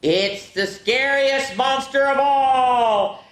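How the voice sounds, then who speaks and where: A woman hosting a children's storytime, reading picture books aloud in a playful, theatrical way with casual asides and drawn-out words. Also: high-pitched. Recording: echoey, roomy sound.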